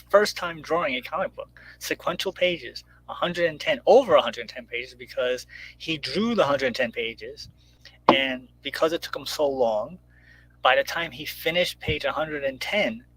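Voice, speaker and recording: A man's voice: fairly high pitch, expressive, a little slow, a bit bright, slightly rough, no room sound, poor audio. A man chatting casually as a guest about his own creative project, rambling informally and acting out little quotes as he goes. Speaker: guest, comic book creator promoting crowdfunding. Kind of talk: casual chat.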